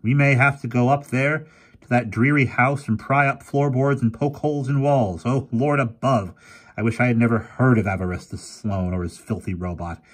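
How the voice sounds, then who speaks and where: A man voicing a gruff elderly professor, a crusty, grumbling older man with a husky voice who turns theatrical when he scolds himself. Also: mid-range voice, steady tone, moderate pace, pitched above the performer's own voice, close to an everyday voice.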